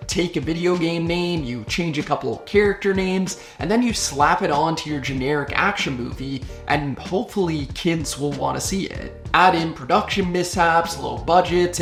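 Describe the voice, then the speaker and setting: A host narrating a scripted video essay in a casual, conversational way. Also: mid-range voice. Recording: music under the voice. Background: background music.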